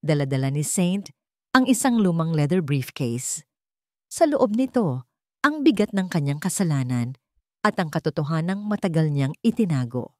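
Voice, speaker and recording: A woman's voice: sits low, big pitch swings, moderate pace, dark tone, no echo, studio quality. A woman storyteller reading a scripted romantic drama in a dramatic narrating style.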